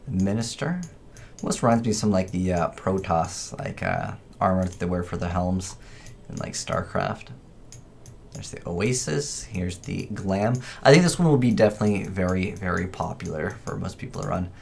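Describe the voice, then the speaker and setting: Male gaming host, lowish voice, loose and chatty, thinks aloud. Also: expressive, moderate pace, gravelly, slight background noise. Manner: informal, rambling, thinking aloud. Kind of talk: casual gameplay commentary.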